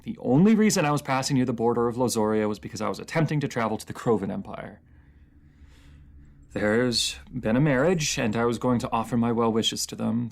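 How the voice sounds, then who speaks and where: Man performing a captive enemy king, gravelly creaky voice, proud, bitter, formal diction, halting at times, fantasy audio roleplay. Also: medium pitch. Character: captive enemy ruler, proud and bitter former mercenary, male adult.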